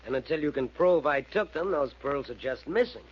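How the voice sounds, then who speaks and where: Smug old radio crook, taunting, swingy pitch, muffled recording. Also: male voice, expressive, a little fast, breathy edge. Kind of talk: 1940s radio acting. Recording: dull old radio recording, faint noise.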